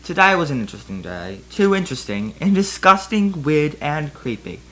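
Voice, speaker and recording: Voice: mid-range voice, very animated, moderate pace, a bit bright, poor audio. A person giving a comedic dramatic reading of fan fiction, giggly and breaking into laughter between asides.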